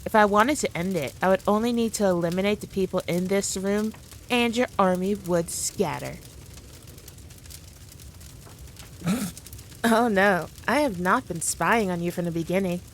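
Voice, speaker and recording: A haughty demon queen, acted by a woman, addresses the listener in a mid-pitched voice. She is smug and dominant, taunting with playful condescension in an ASMR roleplay, over noticeable background ambience. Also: normal speed, slight background noise, lightly acted. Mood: haughty, menacing.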